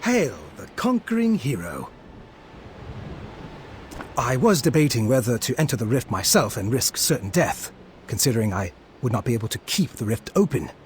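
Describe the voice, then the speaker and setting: An actor playing a learned, courteous mystic ally in a fantasy game, with a formal, bookish way of speaking. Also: male voice, medium pitch, sing-song, normal speed, long pauses, slight background noise, close to an everyday voice. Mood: wry. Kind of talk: scripted fantasy game dialogue.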